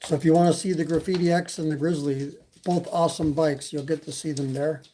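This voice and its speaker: A man's voice: on the high side, steady tone, fairly quick, dark tone, slight background noise. A man from Canada with a slight Canadian accent, hosting a casual e-bike live stream and chatting with viewers, with lots of fillers. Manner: rambling, many fillers, reads chat. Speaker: e-bike channel host.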